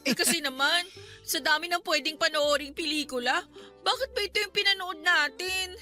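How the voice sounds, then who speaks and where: High, bright young Filipina. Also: female voice, normal speed, faint music behind. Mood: weepy, complaining. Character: young woman, soft-hearted, tearful over a sad love story. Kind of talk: Tagalog radio drama acting.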